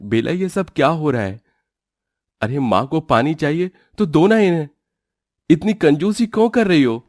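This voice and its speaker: A man's voice: fairly high pitch, animated, normal speed, darkish tone, no echo, clean studio sound, close to an everyday voice. A man voicing a reasonable, concerned young husband, earnest and mildly reproachful, in a family moral story.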